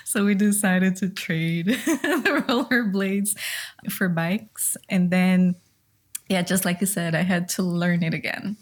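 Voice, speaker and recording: A woman's voice: on the low side, takes it slowly. A woman from Brazil with a Brazilian accent, a native Portuguese speaker, telling her own story casually as a podcast guest in a giggly manner. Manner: giggly, often laughs softly mid-sentence. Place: video call recording.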